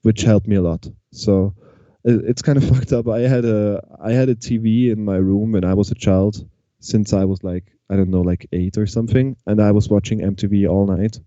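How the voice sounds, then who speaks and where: A man with an Austrian German accent, talking in English with a very dark, mellow tone and a lowish pitch. His sound is dull and lo-fi, and it is dry and close. He chats loosely, restarting his sentences often. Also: steady tone, normal speed, dry recording. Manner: chatty, frequent restarts and fillers. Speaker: guest musician. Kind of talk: casual interview chat.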